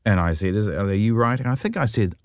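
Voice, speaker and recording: A man conducting a relaxed interview, asking curious, chatty questions. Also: fairly low pitch, brisk, dry recording, clean studio sound. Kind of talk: conversational interview.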